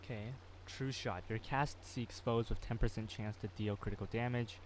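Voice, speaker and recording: Chatty young male streamer, softly resting his voice. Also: fairly low pitch, steady tone, moderate pace, noisy background. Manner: rambling, chatty with many fillers. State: resting his voice, softer than usual. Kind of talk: casual gameplay commentary. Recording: voice over loud game music.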